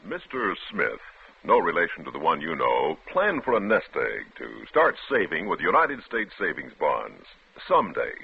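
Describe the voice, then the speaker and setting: A male radio announcer with a low, husky voice delivers a vintage ad. His pitch swings dramatically as he drives each point home, on a thin, band-limited recording. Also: huge pitch swings, moderate pace, dry recording, narrow, tinny audio. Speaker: commercial announcer. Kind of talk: 1940s-50s radio public service ad.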